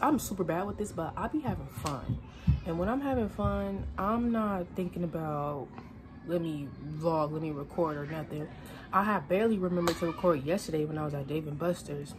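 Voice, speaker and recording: A woman's voice: lowish voice, noisy background. Laid-back woman rambling to her phone. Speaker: vlogger. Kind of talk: casual vlog chat to camera. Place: bedroom at home, indoors. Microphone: phone camera at arm's length.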